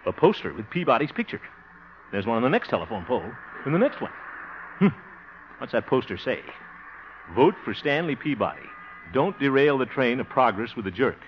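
A man's voice: mid-range voice, very animated, fairly quick, pauses often, slight background noise, lightly acted. An actor playing a blustering, gullible middle-aged small-town man in a hammy 1950s radio comedy. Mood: musing, grumbling. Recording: muffled old 1950 radio broadcast.

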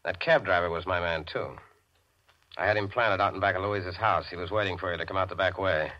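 An actor playing a sly, mocking tough guy in a 1940s radio crime drama, taunting and coolly menacing in slangy, deliberate speech. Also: deep voice, steady tone, lightly acted. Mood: gloating, menacing. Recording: dull vintage radio recording.

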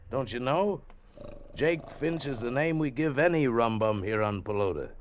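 An actor playing a world-weary island barkeeper in 1940s radio drama, colloquial and matter-of-fact. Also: male voice, medium pitch, expressive, normal speed, natural voice. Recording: noisy, muffled vintage radio recording.

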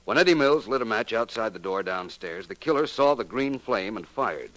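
An actor with an American accent playing a suave, assured detective in a 1940s radio drama, measured and pointed in delivery. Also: male voice, lowish voice, expressive, a bit bright, poor audio. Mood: assured, accusing. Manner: measured, pointed, coolly deliberate. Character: suave, assured male amateur detective solving a murder. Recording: vintage 1940s radio recording.

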